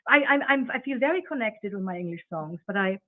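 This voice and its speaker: A woman's voice: medium pitch, expressive, dry recording. A woman whose first language is German, a guest singer chatting in an interview and often restarting her sentences. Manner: halting, many restarts. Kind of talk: casual interview chat. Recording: tinny phone-like sound.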